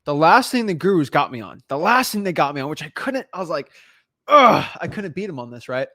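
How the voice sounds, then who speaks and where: An energetic young man with a high, bright voice, talking fast and animated in a casual conversation. The sound is close and dry, with no room around it. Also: dry recording. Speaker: podcast host. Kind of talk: casual chat.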